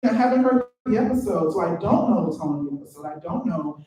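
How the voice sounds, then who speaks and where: A woman who is a poet and teacher, speaking on a live panel, excitable and quick to laugh as she tells stories. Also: fairly low pitch. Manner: excitable, laughing, gesturing storyteller. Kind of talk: panel talk. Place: event venue, live panel. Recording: very echoey, distant from the mic.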